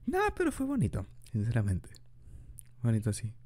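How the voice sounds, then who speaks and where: A man's voice: mid-range voice, huge pitch swings, moderate pace, darkish tone, no echo. A young man improvising an ASMR boyfriend roleplay, rambling chattily and laughing often into a close mic. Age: young adult.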